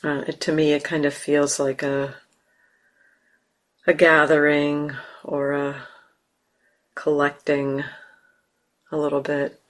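A woman with an American accent, a meditation teacher leading a guided meditation slowly and softly, with long pauses. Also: low-pitched. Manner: slow, soft, calm, with long pauses. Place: meditation hall.

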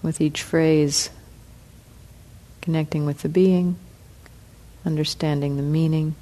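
Slow, soft-spoken woman guiding meditation. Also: deep voice. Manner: slow, soft, calm, with long pauses. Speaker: meditation teacher. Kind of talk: guided meditation.

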